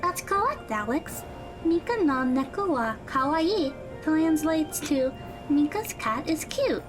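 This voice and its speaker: A woman plays a kind, polite teenage schoolgirl in an audio drama. Her voice is extremely high and girlish, and she speaks gently and earnestly. Music plays clearly behind her. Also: sing-song, slow pace, full character voice, poor audio. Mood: friendly, cheerful.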